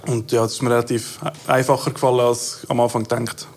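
Brief: Swiss German male guest, Italian native, mid-pitched, easygoing. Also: level tone, fast, a little mellow. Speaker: rapper, interview guest. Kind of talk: casual interview chat.

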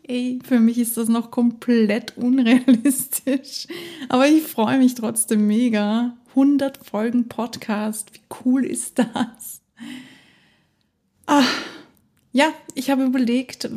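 Slow, husky-voiced woman podcast host. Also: a little high, fairly even intonation, dark tone. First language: German. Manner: chatty and enthusiastic, laughs often. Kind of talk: casual solo podcast monologue.

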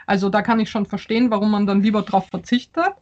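A woman whose first language was Polish answers interview questions, pausing often and restarting her sentences as she thinks aloud. The sound is slightly dull and lo-fi. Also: mid-range voice, steady tone, darkish tone. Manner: thoughtful, hesitant, many fillers and restarts. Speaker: author.

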